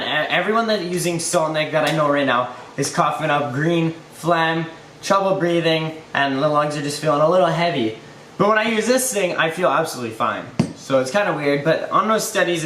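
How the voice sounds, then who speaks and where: A man in his twenties telling a rambling story-time vlog, his voice slightly hoarse. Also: on the high side, fairly bright tone, echoey room, a little hiss or hum, rough recording. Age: 20s. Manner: rambling and loose, lots of "like" and "you know". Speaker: YouTuber.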